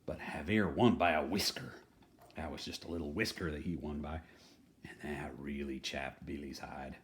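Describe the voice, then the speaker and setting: A man's voice: a bass voice, animated, unhurried. A man reading a children's picture book aloud in a folksy, playfully dramatic manner, adding chatty asides. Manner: folksy, playful dramatic delivery, dropping to hushed tones.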